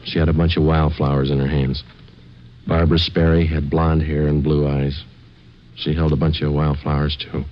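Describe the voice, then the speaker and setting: A man playing a stoic police detective who narrates his case tersely and deadpan in 1950s radio drama style. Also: extremely low pitch, normal speed. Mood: grim, matter-of-fact. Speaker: lead actor and narrator. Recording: dull vintage radio recording with music.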